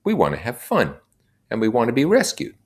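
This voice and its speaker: A man's voice: medium pitch, huge pitch swings, fairly quick, slightly rough, pitched above the performer's own voice. A man voicing a confident, insistent boy leader who declaims to a group in a storybook reading. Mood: confident, rousing. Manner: emphatic, declaiming.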